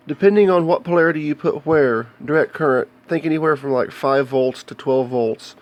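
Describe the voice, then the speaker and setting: An American man with a slightly higher-than-average voice gives an unscripted show-and-tell about electronics. He explains things plainly and casually, often halting as he works through the details. He is recorded indoors in a home workshop on a handheld camera. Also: darkish tone. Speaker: hobbyist video maker showing electronics.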